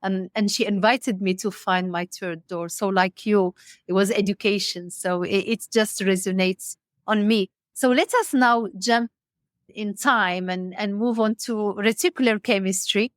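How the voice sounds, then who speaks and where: A woman from Morocco hosting a podcast, interviewing conversationally in a halting, discursive and affirming way. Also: mid-range voice, steady tone, dry recording, studio quality. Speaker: podcast host.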